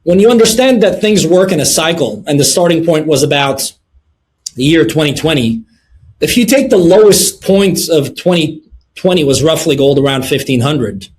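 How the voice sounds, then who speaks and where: Middle-aged man, guest in an interview, measured delivery, frequent fillers, voice sits a bit high. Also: expressive, a little mellow, slight background noise. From Lebanon. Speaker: guest, precious metals dealer. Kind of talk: interview answers.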